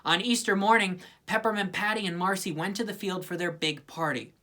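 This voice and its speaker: A woman's voice: on the low side, a little fast, sharp tone. A woman reading a children's story aloud with plenty of expression. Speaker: storybook reader.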